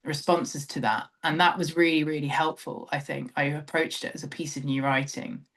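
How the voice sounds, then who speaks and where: A woman's voice: sits low, steady tone, normal speed. A middle-aged British woman speaking reflectively as an interview guest, thoughtful and hesitant, often trailing off and rephrasing. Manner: thoughtful, hesitant, trailing phrases with frequent hedging. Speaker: theatre director, interview guest.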